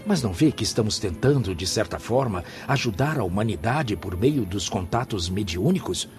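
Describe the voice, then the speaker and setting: Low-pitched, impatient male spirit played by an actor. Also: expressive, normal speed, a little mellow, faint music behind, natural voice. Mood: defensive protest. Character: protesting male spirit, impatient to help mankind. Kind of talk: radio drama acting.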